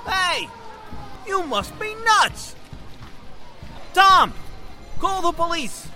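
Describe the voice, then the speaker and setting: An actor playing a brash, slangy fireman shouting in a 1940s-style radio drama. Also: very high voice, sing-song, very slow, soft background music, heavily acted. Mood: alarmed. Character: loud, brash male fireman, alarmed and blunt.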